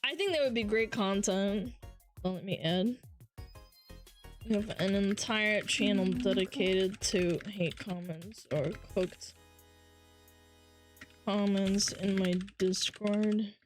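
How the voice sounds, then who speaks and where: A woman's voice: medium pitch, takes it slowly, pauses often, soft background music. A woman with a nasal voice, chatting casually on a livestream and reading viewer comments in a playful, teasing way. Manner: playful, teasing banter with frequent laughs.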